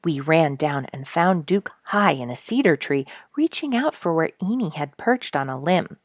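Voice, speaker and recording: A woman narrating an animal story as an audiobook, expressively, with dramatic delivery that dips into hushed tones. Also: sits low, animated, moderate pace, dry recording. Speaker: storyteller, show regular.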